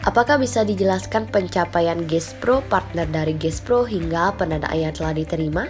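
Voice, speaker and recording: A woman's voice: on the low side, normal speed, over music. A woman hosting an interview show with casual scripted introductions and questions.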